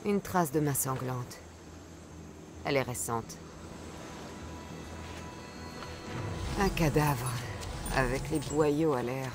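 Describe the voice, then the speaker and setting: A woman's voice: very deep voice, slow, music in the background. An actress playing a tough, wry female mercenary hunter in a French game dub, dry and blunt. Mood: musing. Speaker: video game voice actor.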